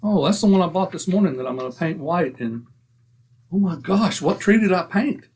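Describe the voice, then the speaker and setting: A man playing a brash, boastful husband in an old-time radio comedy, excitable and self-mocking, with a husky voice. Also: high-pitched, normal speed. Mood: blustery. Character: blustering boastful middle-aged husband. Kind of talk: 1940s radio acting.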